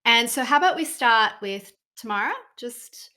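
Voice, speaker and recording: A woman's voice: on the high side, animated, moderate pace, pushed and bright. A woman co-hosting a podcast, introducing the guest and asking casual questions with upbeat interjections. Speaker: podcast co-host.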